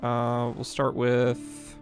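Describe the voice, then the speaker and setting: A man hosting a casual let's play, talking in a relaxed, rambling way with lots of fillers while losing his voice. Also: mid-range voice, drawn-out words, over music. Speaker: gaming channel host.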